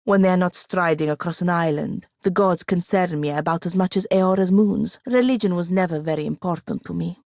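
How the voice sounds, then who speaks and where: Woman acting a haughty young wizard with gravelly low-edged voice. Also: a little fast, few pauses, a little mellow, dry recording, studio quality, natural voice. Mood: scornful. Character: proud, bookish, sharp-tongued young wizard woman, newly freed apprentice. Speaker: companion character in a fantasy role-playing game. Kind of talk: scripted video game dialogue.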